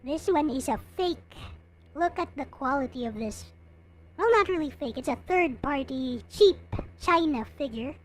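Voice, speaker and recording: High-pitched woman livestreamer, slow and lilting. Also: animated. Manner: chatting casually to viewers, replying to comments. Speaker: livestream host. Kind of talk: casual livestream chat showing collectible figures.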